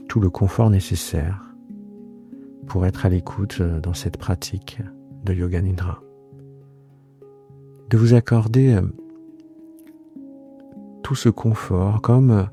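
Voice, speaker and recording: A low, very mellow male voice leads a guided meditation. He speaks slowly and soothingly, with plenty of pauses and a gravelly creak at the edges. Soft guitar music plays underneath. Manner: hushed, soothing, unhurried with long pauses. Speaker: yoga nidra guide and host. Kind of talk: guided relaxation meditation, improvised.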